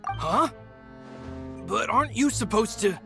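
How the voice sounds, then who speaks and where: A man voicing a steady, courteous and sympathetic comrade in a dramatic video game. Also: sits high, slow pace, creaky, heavily acted. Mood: polite, surprised. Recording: background music under the voice.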